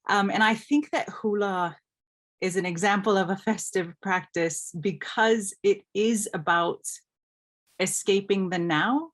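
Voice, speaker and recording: A woman's voice: mid-range voice, measured pace. A woman from Hawaii speaking as a scholar on a panel, explaining things in a reflective, thoughtful way.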